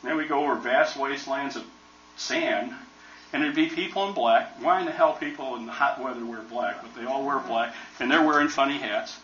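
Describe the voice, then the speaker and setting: Man, 70s, American accent, folksy joking storyteller, bright forceful voice, distant, roomy hall, muffled sound. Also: medium pitch, fairly quick, slight background noise. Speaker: retired army helicopter pilot speaker. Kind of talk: casual museum talk with Q&A. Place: museum hall with an audience. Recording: roomy, distant, dull recording.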